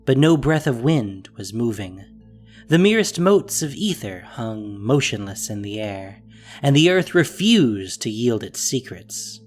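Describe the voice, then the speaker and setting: A narrator reading a scripted fantasy story with expressive, descriptive delivery. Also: medium pitch, unhurried, soft background music.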